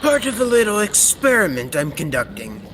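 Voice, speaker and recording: A man playing a kindly, absorbed scientist of the future in old-time radio serial style, theatrical and deliberate. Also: sits high, big pitch swings, a bit bright. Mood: busy, genial. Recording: reverberant, noisy stage-like recording.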